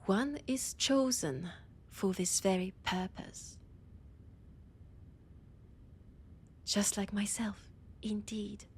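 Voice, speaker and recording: A woman playing a possessive vampire scholar with a French accent, in a theatrical, breathy audio roleplay monologue with dramatic pauses. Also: mid-range voice, a little hiss or hum, lightly acted. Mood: haughty. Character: annoyed, possessive female vampire scholar, ancient library guide.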